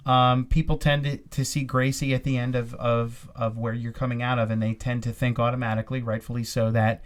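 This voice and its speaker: A man's voice: medium pitch, level tone, brisk. Rambling man asking questions. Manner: rambling questions with many restarts. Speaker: podcast co-host and fight commentator. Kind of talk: casual interview.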